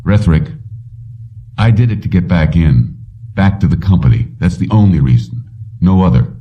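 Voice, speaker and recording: A man's voice: low-pitched, animated, moderate pace. A man voicing a determined, defiant male mechanic in an audiobook, firm and insistent, on a dull recording. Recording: muffled, distant-sounding dull recording.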